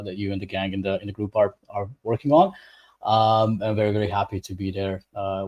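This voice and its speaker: A man from Bosnia and Herzegovina, an English speaker, talking as an interview guest in a relaxed way, often restarting his sentences. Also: lowish voice. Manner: relaxed, laughs easily, halting restarts. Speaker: guest expert. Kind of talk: casual interview.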